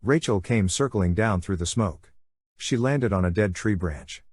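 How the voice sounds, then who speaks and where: Husky, low-voiced male storyteller. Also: unhurried, a little mellow, no echo. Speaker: audiobook narrator. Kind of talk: scripted dramatic first-person narration.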